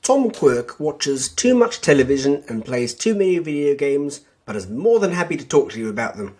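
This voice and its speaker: A man with a fairly high, husky voice reads a prepared promo. His tone is soft-edged and mellow. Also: sing-song, normal speed. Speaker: podcaster and reviewer. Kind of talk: scripted third-person plug.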